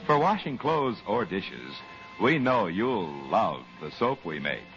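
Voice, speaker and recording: A low-voiced American man working as a 1940s radio announcer. His delivery is theatrical and deliberate, with wide swings in pitch. The old broadcast sounds dull, with faint music behind. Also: very animated, a bit bright.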